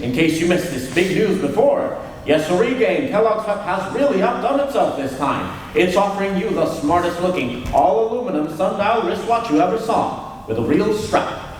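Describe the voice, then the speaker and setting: A man acting an eager, folksy 1940s radio pitchman selling to children in an exclamatory style. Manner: peppy, exclamatory salesman. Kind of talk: vintage radio advertisement. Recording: distant, very echoey hall, faint noise.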